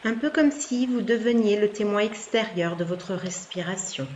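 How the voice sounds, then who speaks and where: Woman, medium pitch, yoga relaxation guide, calm and soothing, long pauses, muffled sound, watery ambient noise. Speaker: yoga nidra instructor. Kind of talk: guided relaxation meditation, soft instructions.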